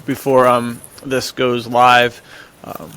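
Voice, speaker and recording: A man's voice: medium pitch, monotone, slow pace, fairly bright tone, clear, resonant tone, rough recording. A man co-hosting a podcast in casual, slangy chat. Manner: informal, slangy. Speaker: podcast co-host.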